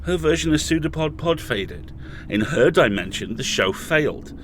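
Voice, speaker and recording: A man's voice: fairly high pitch, expressive, normal speed, fairly bright tone, slight background noise. A man playing an imprisoned podcast host in an audio drama, intense and raging, pleading with his colleagues. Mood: angry. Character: imprisoned male podcast host, furious and desperate.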